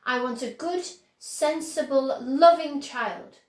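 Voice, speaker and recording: Lady doing excitable old factory owner guy from a kids book, earnest, high voice, echoey room. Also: takes it slowly. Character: eccentric, exuberant old man, chocolate factory owner.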